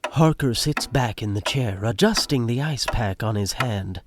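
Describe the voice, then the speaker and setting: Unhurried male drama narrator. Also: medium pitch, animated, background noise. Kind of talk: scripted audio drama narration. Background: music.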